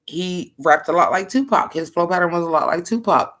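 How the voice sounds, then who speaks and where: A woman rapper with a deep, bass-heavy voice, chatting loosely to her viewers as she reacts to her own old album. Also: fairly quick, fairly bright tone. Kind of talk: casual reaction commentary.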